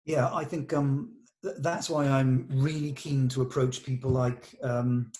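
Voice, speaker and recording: Male, mellow dark tone, mid-pitched, informal meeting talk, explanatory with searching pauses. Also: flat delivery. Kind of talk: informal production meeting discussion.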